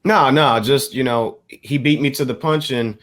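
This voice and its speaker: Guy on a podcast panel, chatting casually, kinda measured and explaining stuff, medium pitch. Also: fairly bright tone. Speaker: podcast co-host.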